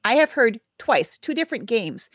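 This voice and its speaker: A woman's voice: a little high, very animated, normal speed, a bit bright, no room sound, clean studio sound. A woman co-hosting a podcast, telling stories in casual chat with wry asides. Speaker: podcast co-host.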